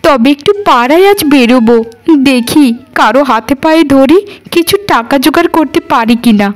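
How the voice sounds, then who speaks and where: Cartoon role: worried, pleading village mother in poverty performed by a woman, high-pitched and gently imploring. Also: moderate pace, lightly acted. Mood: anxious, soothing. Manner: soothing, imploring. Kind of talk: Bengali cartoon dialogue.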